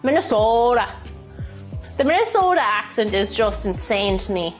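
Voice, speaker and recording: A woman's voice: fairly high pitch, very animated, moderate pace. A woman with an Irish accent chatting casually and playfully while hosting a live cooking stream. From Ireland. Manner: chatty, playful, reads out viewer messages. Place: indoors, in a kitchen. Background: music.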